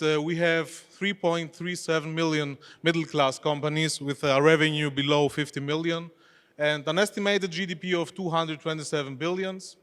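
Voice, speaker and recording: A man's voice: on the high side, fairly bright tone, smooth. Greek-native man presenting in a hall. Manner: chatty, questions the audience, non-native phrasing. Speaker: conference speaker, head of e-commerce. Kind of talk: conference presentation. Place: expo hall stage. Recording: long reverb of a large hall.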